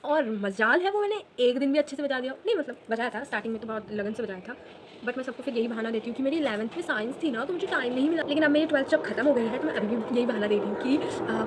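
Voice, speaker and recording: A woman in her late teens telling a casual story while drawing, chatty and quick, mixing English phrases into her talk. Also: sits high, very noisy. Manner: chatty, fast, mixes English phrases into Hindi. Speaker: vlogger.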